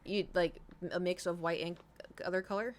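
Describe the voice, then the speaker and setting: A woman's voice: lowish voice, steady tone, normal speed. A woman in her thirties chatting casually to livestream viewers, rambling informally, swearing freely and repeating herself for emphasis. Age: 30s. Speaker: livestream host.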